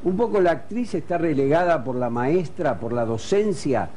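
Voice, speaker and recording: A man's voice: on the high side, animated, normal speed, dark tone, slight background noise. A man with an Argentine Rioplatense accent asking questions as a host in a conversational interview.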